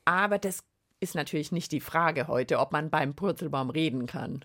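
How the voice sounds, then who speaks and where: A woman's voice: deep voice, normal speed, fairly bright tone. A woman hosting a children's podcast, playful, teasing and encouraging in casual chat. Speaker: children's podcast host and reporter.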